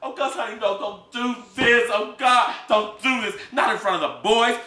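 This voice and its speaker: A man voicing a desperate middle-aged mother in a storybook reading, pleading in a high, breaking voice. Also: fairly quick, bright, forceful tone, soft background music. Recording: echoey room, faint hiss.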